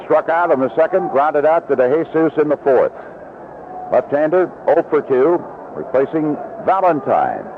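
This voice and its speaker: A man's voice: on the high side, very animated, normal speed. An American man calling baseball play-by-play on the radio in a folksy, descriptive manner. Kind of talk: live radio sports commentary. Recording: dull, noisy vintage radio broadcast.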